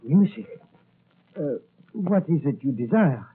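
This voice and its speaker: An actor playing a nervous, apologetic French novelist with a French accent in 1940s-style radio drama. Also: male voice, a little high, sing-song, measured pace, slightly rough, full character voice. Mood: nervous, placating. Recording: muffled, narrow-band vintage radio recording.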